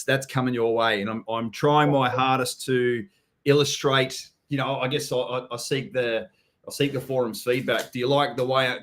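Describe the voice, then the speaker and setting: A man's voice: mid-range voice, a bit bright. A man with an Australian accent hosting a casual live chat, blunt and swearing freely, calling everyone mate. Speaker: host.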